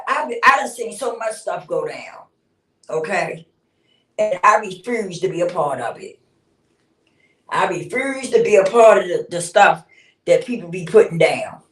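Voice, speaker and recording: A woman's voice: mid-range voice, recorded from a distance. A woman in her fifties talking bluntly and casually on a livestream, swearing freely and laughing often. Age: 50s. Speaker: livestream host. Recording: roomy sound, speaking away from the mic.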